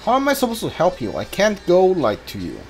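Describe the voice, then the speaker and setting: A man's voice: sits high, huge pitch swings, fairly quick, faint music behind. A man giving casual playthrough commentary as a video game streamer, muttering to himself and talking back to the game.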